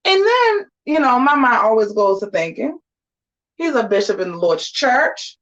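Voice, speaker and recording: A woman's voice: fairly high pitch, sing-song, moderate pace, a bit bright, highs cut off. Sassy, chatty woman in her forties. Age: 40s. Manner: chatty, sassy, slangy asides. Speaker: vlogger. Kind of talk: casual get-ready-with-me chat. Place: at home getting ready.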